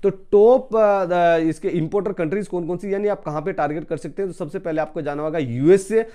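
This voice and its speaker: A man in his thirties from India giving an educational business talk to camera on a lapel mic, emphatic, swinging from soft to loud, with frequent hesitations. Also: high-pitched, fairly quick. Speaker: business trainer. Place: indoor studio with green screen.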